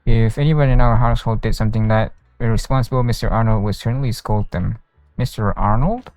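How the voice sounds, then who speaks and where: A man's voice: on the low side, fairly quick, a little mellow, clear, resonant tone, no room sound. A man streaming a video game, casually commenting and reading dialogue aloud into a close mic with game music behind.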